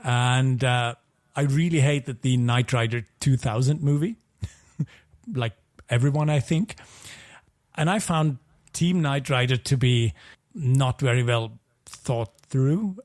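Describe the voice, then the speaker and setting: A man's voice: medium pitch, slow pace, pauses often, darkish tone. A man co-hosting a podcast in casual review chat, hesitantly qualifying his opinions and repeating words as he goes. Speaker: podcast co-host.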